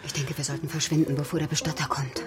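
A woman's voice: low voice, flat delivery, fast talker, background noise, natural voice. An actress playing a wry, decisive woman accomplice in a radio crime drama. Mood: brisk, conspiratorial. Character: brisk, dry-witted woman accomplice in a crime. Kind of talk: German radio drama acting.

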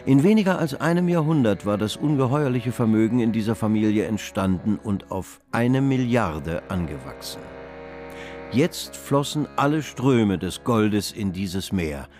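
An actor as the narrator of a historical radio drama, reading grand literary description. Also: male voice, fairly low pitch, darkish tone.

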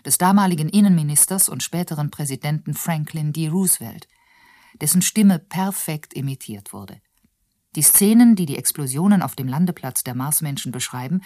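A woman's voice: sits low, dark tone, no echo, clean studio sound. A woman reading scripted narration for a radio documentary.

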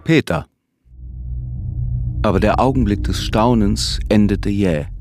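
Low solemn narrator for a literary radio play, measured, music behind him. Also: male voice, darkish tone. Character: solemn storyteller recounting a deportation.

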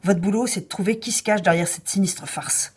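Woman as a husky, stern police chief. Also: mid-range voice, fairly even intonation, brisk, a little mellow, natural voice. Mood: stern, exasperated. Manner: authoritative, dry, curt. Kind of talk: naturalistic audio drama dialogue.

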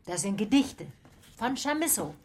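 An actress playing a matter-of-fact private detective in a German radio drama, politely probing in a dry way. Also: female voice, fairly high pitch, very animated, normal speed, husky.

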